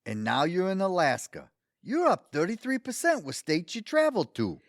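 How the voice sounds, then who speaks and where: Actor as a down-to-earth smitten man, folksy, teasing, audio drama, higher-pitched, wildly varied intonation. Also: huge pitch swings, normal speed, dry recording, clean studio sound, full character voice. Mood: playful. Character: easygoing, smitten, down-to-earth suitor, gently joking. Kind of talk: audio theatre acting.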